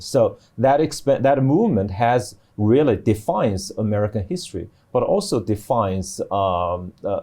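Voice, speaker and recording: A middle-aged man explaining things on a panel as a professor, in a halting way with many restarts. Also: lowish voice, mellow. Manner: halting, hesitant delivery with repeated restarts. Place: TV studio.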